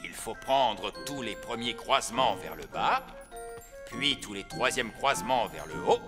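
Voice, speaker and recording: A pompous grown-up elf in a children's cartoon. He is excitable and emphatic, his voice bouncing widely in pitch, with music behind him. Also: measured pace. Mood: confident. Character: self-important, excitable elf father, grown man. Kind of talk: French children's cartoon dub.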